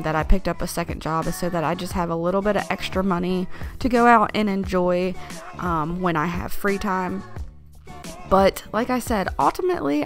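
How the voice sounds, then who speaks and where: Chatty young woman with vocal fry. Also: lowish voice. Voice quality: gravelly fry. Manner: chatty, rambling, with frequent ums and likes. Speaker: YouTube vlogger. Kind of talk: casual voice-over chat to viewers.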